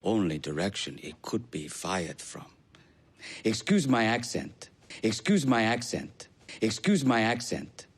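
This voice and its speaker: A husky, earnest man. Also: lowish voice, sing-song, a little slow, heavily acted. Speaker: film character. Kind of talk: film dialogue.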